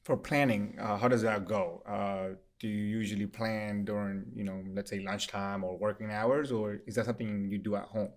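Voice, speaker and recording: Young male host, casual and relaxed, conversational questions. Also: fairly low pitch, normal speed, hardly any pauses. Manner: easygoing, chatty, laughs along. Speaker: interview host.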